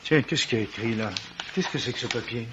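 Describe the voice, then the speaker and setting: A man's voice: medium pitch, fast, lightly acted. A man playing a blunt, impatient police commissioner who fires colloquial questions in 1950s French radio drama style. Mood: agitated. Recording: dull, noisy vintage radio recording.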